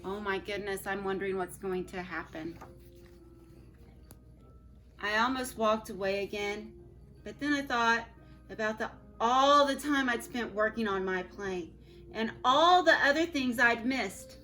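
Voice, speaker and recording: Bright-voiced teacher reading a storybook aloud. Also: female voice, medium pitch, unhurried, faint music behind. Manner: expressive reading with soft asides to children. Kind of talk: reading a picture book aloud to her class. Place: at home.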